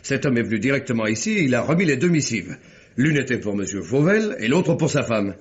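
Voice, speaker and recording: An actor playing a shrewd, self-assured police detective in a French radio mystery, speaking courteously with deliberate phrasing. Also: male voice, mid-range voice, sing-song, lightly acted. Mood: confiding. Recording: dull old radio drama recording.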